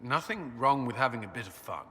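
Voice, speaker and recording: An actor voicing an earnest, flustered military commander in a video game, stiff, awkward and halting. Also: male voice, medium pitch, lively intonation, sharp tone, big-room sound. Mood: embarrassed.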